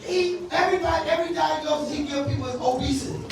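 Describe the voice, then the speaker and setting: A woman comedian from South Carolina with a deep voice, doing a loud, punchy stand-up set and working the crowd. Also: fast talker, far from the mic, very noisy. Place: comedy club stage. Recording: echoey live venue, mic heard from afar, crowd laughter. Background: audience laughing.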